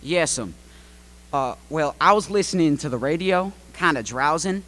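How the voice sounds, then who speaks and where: A folksy farmer played by an actor rambles hesitantly as a radio play witness. His middle-pitched voice swings up and down in a lively way. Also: unhurried. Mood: drowsy, rambling. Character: slow, rambling, folksy male farmer, easily sidetracked.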